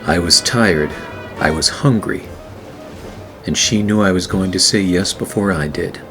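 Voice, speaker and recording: A low-pitched, weary private eye from a 1940s noir radio drama. He tells his case and trades wisecracks in a deadpan way, with music clearly playing underneath. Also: unhurried. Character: weary, wry 1940s hard-boiled male private eye. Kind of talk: noir radio play acting with first-person narration.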